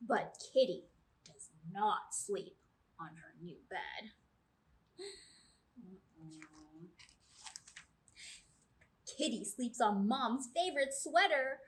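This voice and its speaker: A woman's voice: mid-range voice, animated, extremely slow, pauses often, slight background noise, low-quality recording. A woman in her thirties reading aloud to young children, drawing out key words and putting questions to her listeners. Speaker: children's librarian and storytime host. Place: small indoor room with brick wall.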